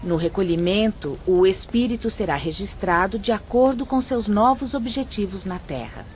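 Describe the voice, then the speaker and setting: A gentle female spirit guides newcomers through her department with calm, kindly explanations, as a woman plays her. She speaks in an audio drama at a middling pitch. The recording sounds a little dull, with a faint hiss underneath. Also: normal speed, lightly acted. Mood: patient. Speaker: instructor guiding new apprentices.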